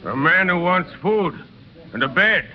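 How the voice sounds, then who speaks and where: A ragged, hungry ex-convict who pleads humbly and haltingly. His voice moves widely between low murmurs and raised appeals. It comes from a vintage radio drama with a muffled sound and faint noise. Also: animated. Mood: insistent, hungry. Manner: halting, plain-spoken pleading. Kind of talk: 1930s radio drama acting.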